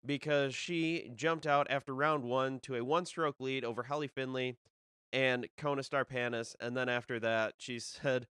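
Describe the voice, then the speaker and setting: A young man talks on his own as the host of a casual show, rambling and restarting his sentences. He sounds a bit nasal from a cold. His voice is smooth and resonant, with a dry, close sound and no room echo. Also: mid-range voice, fairly even intonation, normal speed, fairly bright tone. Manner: rambling, with many fillers and restarts. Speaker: disc golf podcast host. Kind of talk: casual solo live-stream talk.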